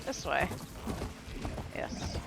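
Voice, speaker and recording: A woman's voice: extremely slow, heavy background noise. A woman gives casual video game commentary, murmuring to herself as she plays. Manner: murmuring to self while playing. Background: game music and game sounds.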